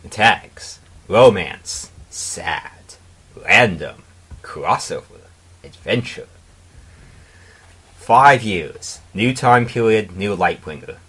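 A man presenting and reading fan fiction aloud in a deliberate, mock-dramatic way. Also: fairly low pitch, expressive, a bit bright, husky, rough recording. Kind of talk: casual intro and dramatic reading.

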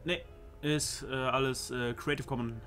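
A man's voice: mid-range voice, fairly even intonation, moderate pace, a bit bright. Brief: young male let's player, slangy and easygoing, game music faint under him. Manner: slangy, laid-back, says "easy" and "Alter". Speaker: let's play host. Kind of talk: casual gaming chat.

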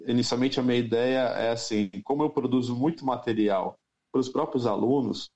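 A man from Brazil, a music teacher and producer, talking through his plans in a relaxed, thoughtful interview. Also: mid-range voice, muffled recording. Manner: thoughtful, unhurried, many fillers. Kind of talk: casual interview talk.

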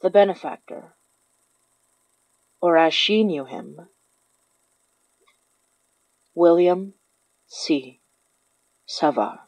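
A woman's voice: on the low side, lively intonation, extremely slow, pauses often, darkish tone, no room sound, poor audio. Woman narrating a horror story, very dry sound. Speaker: narrator of a horror fiction podcast.

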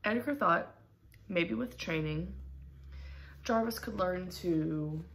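A young woman reads a children's story at a very unhurried pace. Her voice sits a little low with noticeable creak, and her delivery is deliberate and expressive. It is a home recording with faint noise behind her. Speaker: storybook reader. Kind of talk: children's picture book read-aloud. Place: small room at home, indoors.

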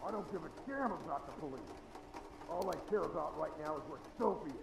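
A man's voice: fairly high pitch, animated, fairly quick, vocal fry. A man playing an angry, aggressive ex-husband and father in a video game. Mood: furious. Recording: noisy, echoey, distant background of phone call.

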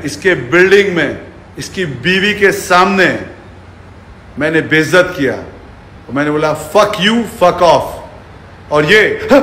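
Confrontational high-voiced man in echoey room. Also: a bit bright, slight background noise. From India. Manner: confrontational, taunting, swearing. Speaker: fitness personality. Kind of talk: angry social media rant to camera. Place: furnished living room indoors. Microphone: phone at arm's length.

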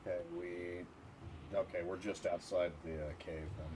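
A man's voice: sits low, little pitch movement, normal speed, noisy background. A man live streaming a game, chatting casually in a rambling, jokingly dramatic way. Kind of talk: casual gaming livestream chat.

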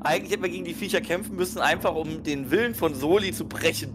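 A man's voice: on the high side, quick pace. A man taking part in an online tabletop game, chatting casually with the other players. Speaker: tabletop role-playing player. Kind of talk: casual group chat. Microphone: online group video call. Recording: music playing behind the voice. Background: music.

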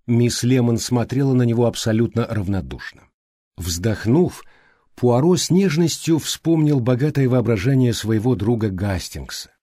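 A male audiobook narrator reads literary prose. His voice is mellow and moves freely in pitch. The recording is close and dry. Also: expressive, moderate pace, dry recording.

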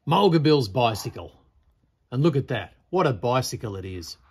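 A man's voice: mid-range voice, big pitch swings, moderate pace, darkish tone, husky. A man reading a picture-book poem aloud as a storyteller, in a theatrical, dramatic style. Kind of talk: expressive read-aloud poetry recitation. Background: birdsong.

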